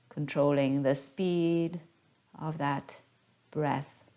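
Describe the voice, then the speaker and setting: A woman guiding a yoga class as the teacher, speaking in a gentle, unhurried, soothing manner. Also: sits low. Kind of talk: guided yoga class instruction.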